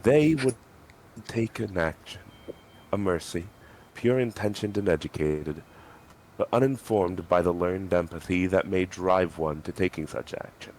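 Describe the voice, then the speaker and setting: A man's voice: low voice, unhurried, darkish tone, a little hiss or hum. A man reading scripted story narration in a dramatic style. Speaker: reader in a group dramatic reading.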